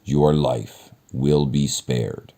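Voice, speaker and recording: A monstrous, lovelorn storybook beast, acted by a male reader, speaks in grand, emphatic phrases. The voice starts from a deep, slow register and swells with menace or longing. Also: fairly even intonation, mellow, heavily acted. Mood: stern threat.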